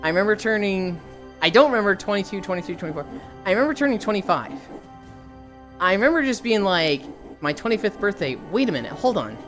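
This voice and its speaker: A woman's voice: medium pitch, very animated, fast, faint music behind. A woman streaming casually, rambling through stories, swearing and laughing easily. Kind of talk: casual chat while streaming.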